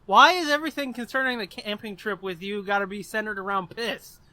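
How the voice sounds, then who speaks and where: A man's voice: high-pitched, fairly quick, pushed and bright. A man co-hosting a podcast in casual chat, crude and swearing, laughing often. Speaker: podcast co-host.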